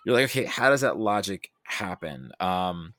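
A man talking casually about films, thinking aloud in a halting, animated way with self-quoting asides. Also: low voice, dry recording. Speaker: podcast guest discussing film. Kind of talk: casual film discussion.

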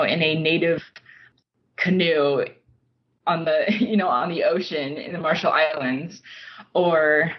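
A woman speaking as a writer being interviewed, thoughtful and measured, pausing mid-sentence as she reflects. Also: sits low. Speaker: podcast guest, nonfiction writer. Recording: dull lo-fi audio.

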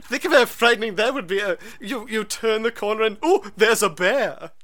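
A man voicing a pompous, posh upper-crust English gentleman with theatrical, drawn-out exclamations. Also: high voice, expressive, voice pushed up. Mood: pompous, mock-scared.

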